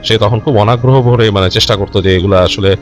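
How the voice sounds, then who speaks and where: A man telling a horror story informally and unscripted, in a halting, conversational way with repeated phrases. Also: on the low side, steady tone. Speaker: storyteller of a ghost story show. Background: background music.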